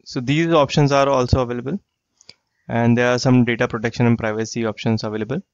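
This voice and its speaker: Man, software tutorial, mid pitch, dry close sound, slightly dull audio. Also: steady tone, fast, no echo. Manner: plain explanatory, repeats phrases like "you get the idea". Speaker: software trainer. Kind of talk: screen-share software tutorial.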